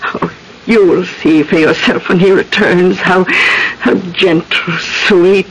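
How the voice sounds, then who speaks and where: Anxious older spinster, halting speech. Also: female voice, lowish voice, fairly even intonation. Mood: solemn, wistful. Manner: halting, repeating words. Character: anxious, genteel older spinster cousin, loyal and hesitant. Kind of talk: 1970s radio drama acting. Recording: noisy old radio broadcast recording.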